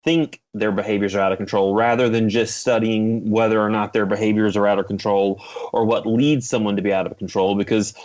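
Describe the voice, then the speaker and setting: A man speaking as an expert interview guest, explaining in a measured, carefully qualified way. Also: lowish voice, flat delivery, a little mellow. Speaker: interview guest, psychology professor and researcher. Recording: slightly dull, lo-fi remote audio.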